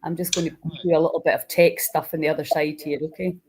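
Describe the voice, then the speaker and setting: A woman's voice: sits low, flat delivery, fast, darkish tone, husky. A woman hosting an informal live online interview in a chatty, enthusiastic way. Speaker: host.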